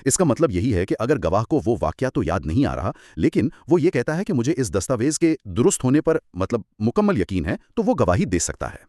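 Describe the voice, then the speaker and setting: A man's voice: medium pitch, big pitch swings, a little fast, few pauses, darkish tone, dry recording, clean studio sound. A man with a Pakistani accent explaining law in an assured, emphatic, conversational teaching style. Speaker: legal explainer on a two-person show.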